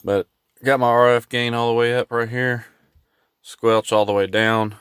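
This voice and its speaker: A man giving a casual, unscripted how-to vlog in a laid-back, folksy, halting way. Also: medium pitch, little pitch movement, a bit bright, smooth, dry recording, studio quality. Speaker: hobbyist vlogger showing his truck radio install. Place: in and around a pickup truck in a driveway.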